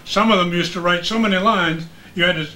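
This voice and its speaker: A man's voice: high-pitched, brisk, sharp tone, a little hiss or hum. An older man, a veteran radio broadcaster, casually reminiscing in conversation.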